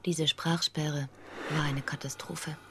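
An actress playing a grave woman who recounts personal misfortune in a radio play, with a husky voice. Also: deep voice, level tone, slow, noisy background. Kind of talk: scripted first-person radio play narration.

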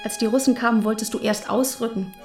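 An actress playing a frank, unsentimental young woman recalling her post-war girlhood in a German radio play, with a slightly husky voice. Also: a little high, steady tone, fast, over music, natural voice. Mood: frank, defiant.

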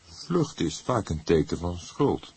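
A man playing a calm older police detective who questions in a measured, probing way in an audiobook. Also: deep voice, vocal fry, slightly rough, heavily acted. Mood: musing. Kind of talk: acted audiobook dialogue.

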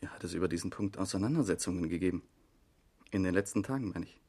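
Male investigator, radio crime play: lowish voice, polite, matter-of-fact, quietly probing. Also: husky. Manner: formal, measured. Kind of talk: 1970s radio crime drama acting.

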